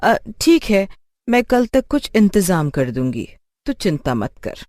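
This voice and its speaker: A woman playing a caring, gently reassuring mother who is short of money, in a cartoon story. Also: mid-range voice, sing-song, measured pace. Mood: caring, uneasy.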